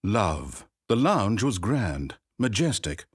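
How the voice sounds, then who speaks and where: An actor voicing a game's male narrator, speaking in a measured, wry and theatrical way. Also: fairly low pitch, big pitch swings, husky, no room sound, exaggerated voice. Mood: gushing. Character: dry, wry male storybook narrator of a game. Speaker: game narrator. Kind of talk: scripted narration. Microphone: studio microphone. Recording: dry close studio recording.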